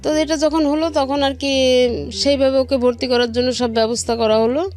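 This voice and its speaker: A middle-aged woman with a Bangladeshi accent tells a personal story in an interview. Her voice sits a little high, and she talks conversationally, recounting exchanges in detail. Soft music plays behind her. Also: flat delivery, normal speed. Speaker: guest telling own life story. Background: background music.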